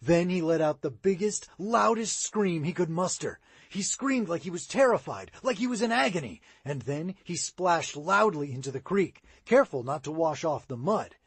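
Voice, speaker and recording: A man narrating a children's audiobook, his voice rising and falling with the story. The tone is a little husky and sits slightly high for a man, and the sound is close and dry. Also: normal speed, few pauses, dry recording. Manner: expressive read-aloud, rising and falling. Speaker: audiobook reader.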